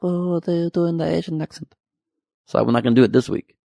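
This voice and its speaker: A man's voice: fairly high pitch, brisk, creaky, dark tone, dry recording, studio quality. A young man giving a comedic, profane monologue about the news with joking asides. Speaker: comedy commentary host.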